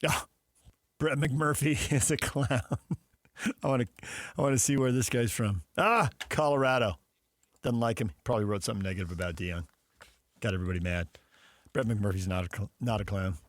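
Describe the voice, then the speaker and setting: A man's voice: medium pitch, moderate pace, long pauses, dry recording, clean studio sound. An American man hosting a sports talk radio show, bantering with guests and colleagues.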